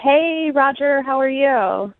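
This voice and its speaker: Woman, phone-in caller, higher voice, hesitant, casual, thin telephone sound. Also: animated, takes it slowly, heavy background noise. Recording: muffled lo-fi phone line.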